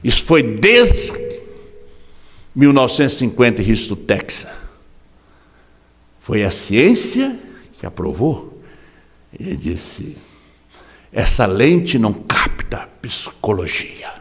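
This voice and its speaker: A man preaching a sermon as pastor in a Brazilian Portuguese accent, impassioned, swinging from hushed drops to sudden shouts. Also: mid-range voice, sing-song, slow pace, long pauses, big-room sound. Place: large church hall.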